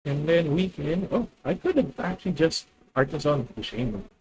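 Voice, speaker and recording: Filipino-accented streamer thinking aloud. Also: male voice, on the high side, animated, normal speed, a little mellow, a little hiss or hum, poor audio. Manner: thinking aloud, self-correcting, addresses viewers. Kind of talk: live gameplay commentary.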